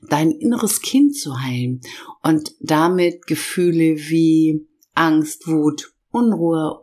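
A middle-aged woman hosting a self-help podcast, her voice hoarse from a cold, speaking slowly and emphatically as she lists feelings and addresses the listener directly. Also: low-pitched, a little mellow. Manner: slow, emphatic listing of feelings. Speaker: podcast host and inner-child coach. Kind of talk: warm guided podcast talk.

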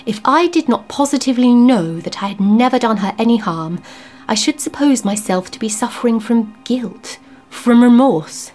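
A woman reading the part of a troubled, grieving widower in an audiobook ghost story, anxious and earnest, sometimes dropping to a hush. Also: a little high, expressive, normal speed, faint music behind. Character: troubled grieving widower, man, middle-aged, uneasy.

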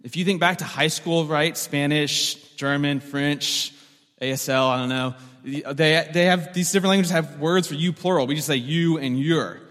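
A man's voice: on the high side, normal speed, fairly bright tone, long reverb tail. A man preaching a sermon as the pastor, conversational and joking, often repeating words as he restarts a thought.

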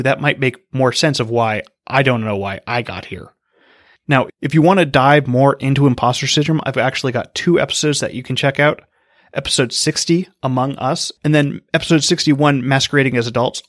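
A man hosting a podcast, giving calm, explanatory answers from a script. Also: medium pitch, no room sound, clean studio sound. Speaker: podcast host.

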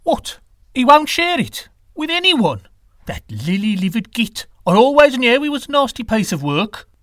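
Man doing a huffy, very high-pitched wind god. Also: animated, normal speed, no echo, clean studio sound. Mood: outraged. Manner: high, flustered, indignant exclaiming. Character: huffy, indignant, easily riled male Aztec wind god. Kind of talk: comic storytelling character voice.

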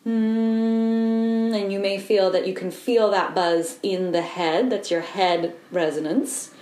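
A woman voice coach giving a calm, explanatory lesson and guiding the listener through simple humming exercises. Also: mid-range voice, slow, reverberant. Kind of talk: instructional voice lesson.

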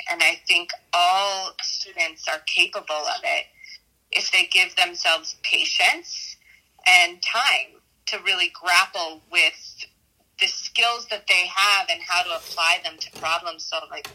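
A middle-aged American woman giving reflective interview answers as an educator, haltingly, often repeating and correcting herself. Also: lowish voice, pushed and bright, rough recording. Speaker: math teacher and school dean.

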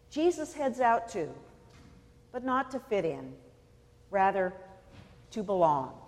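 A woman from California preaching a sermon in a measured, deliberate way, pausing for emphasis. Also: mid-range voice, darkish tone, long reverb tail. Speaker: pastor.

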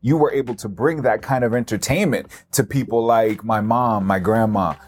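A man's voice: lowish voice, normal speed, a little mellow. A man hosting a casual interview, asking questions and affirming his guest. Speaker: host.

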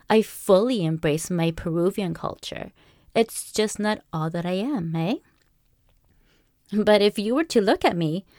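A young woman born in Peru, hosting a solo podcast in a calm, measured and reflective way. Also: medium pitch, dry recording, clean studio sound. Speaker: podcast host. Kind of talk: scripted solo monologue.

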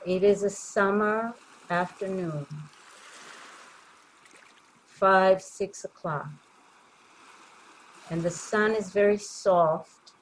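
A woman leading a guided meditation in a gentle, soothing way, leaving long pauses between short phrases. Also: medium pitch, slight background noise, low-quality recording.

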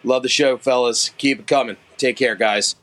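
A man's voice: mid-range voice, fairly even intonation, moderate pace, a bit bright, soft background music. A middle-aged man with a Philadelphia accent, leaving a folksy, self-deprecating voice message for a podcast. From Philadelphia area. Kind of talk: recorded voice message.

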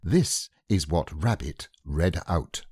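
A man narrating a children's book aloud in a gentle, expressive way. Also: low-pitched, slow, breathy edge, no echo, clean studio sound. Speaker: audiobook narrator. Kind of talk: storybook narration.

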